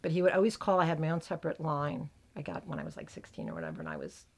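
A middle-aged American woman with a gravelly voice, chatting as a podcast host and telling animated stories. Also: sits low, very fast. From United States. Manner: chatty, animated storyteller, laughs often. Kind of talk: casual chat.

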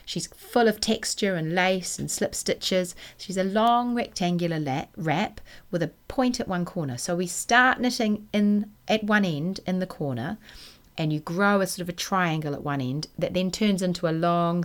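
A woman from New hosting a knitting podcast, chatting casually and enthusiastically, her voice still a little croaky from a cold. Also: lowish voice, normal speed. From New Zealand. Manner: chatty and enthusiastic, self-deprecating. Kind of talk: casual solo podcast chat.

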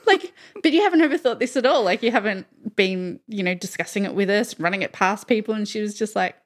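A woman's voice: medium pitch, expressive, a little fast. A woman hosting an informal interview, chatting with teasing banter. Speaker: interview host. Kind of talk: casual chat.

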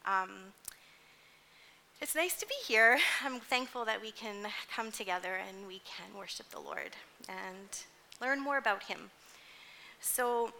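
A woman's voice: mid-range voice, gravelly, bright, forceful tone, slight background noise. A woman in her forties giving a personal, informal sermon as a church music leader, speaking in an earnest, conversational and somewhat hesitant way. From grew up in Indonesia as a missionary kid, later moved to Canada. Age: 40s.

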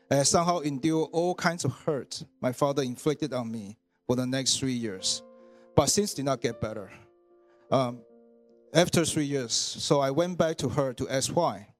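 Earnest man in his forties. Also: medium pitch. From Taiwan. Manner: earnest, measured. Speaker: church member giving testimony. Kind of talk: read personal testimony.

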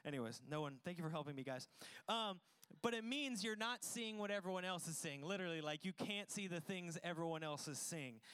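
A man's voice: high voice, animated, fairly quick, fairly bright tone, clear, resonant tone. A man preaching a conversational sermon to a congregation, casual and joking, poking fun at himself. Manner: casual, joking, self-deprecating. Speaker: pastor. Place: church service with congregation.

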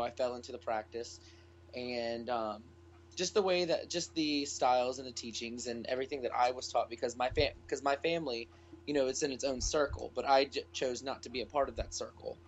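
A man's voice: mid-range voice, muffled recording, a little hiss or hum. A man who grew up in Wales, a guest on a casual podcast, talking in rambling sentences full of restarts. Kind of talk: casual discussion.

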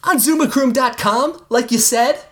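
A man voicing a hyper excited little boy who shouts everything in a shrill, overjoyed voice. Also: moderate pace.

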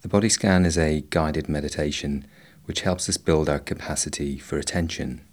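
Man, extremely low voice, guided meditation, slow measured delivery, long pauses. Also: fairly even intonation. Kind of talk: guided body scan meditation.

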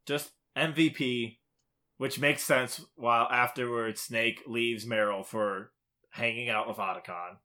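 Bright-voiced man in casual podcast chat. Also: medium pitch, fairly even intonation, a little slow. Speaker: podcast co-host. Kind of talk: casual chat about video games.

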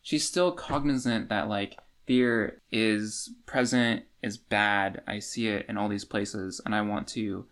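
Creaky-voiced man chatting about books. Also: lowish voice, fairly even intonation, takes it slowly, hardly any pauses, fairly bright tone. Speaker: podcast co-host. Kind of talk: casual book discussion.

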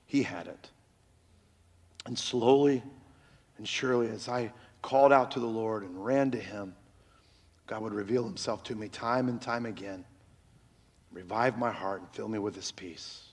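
A man preaching a sermon as pastor in a church, with a very loud voice that swings between loud cries and hushed, near-whispered lines, on a microphone. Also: on the low side, fairly even intonation, a little slow, long pauses, slightly rough, big-room sound.

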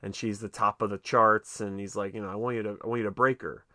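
A man's voice: lowish voice, flat delivery, fast, sharp tone, no room sound, studio quality. A man co-hosting a film podcast, chatting loosely and jokingly with plenty of filler words. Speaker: podcast co-host. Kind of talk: casual movie discussion. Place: quiet room, recorded late at night.

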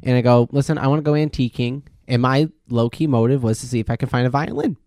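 A man's voice: mid-range voice, fast talker, a little mellow, no room sound, studio quality. A man co-hosting a podcast in casual chat, telling stories in a rambling, repetitive way and swearing freely. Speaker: podcast co-host.

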